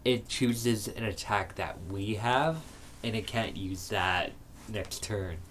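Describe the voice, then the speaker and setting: A man co-hosting a stream, casually explaining card game rules in halting bursts with fillers. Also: on the low side, a bit bright, a little hiss or hum. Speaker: stream co-host. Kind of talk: casual chat while playing a card game. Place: game store.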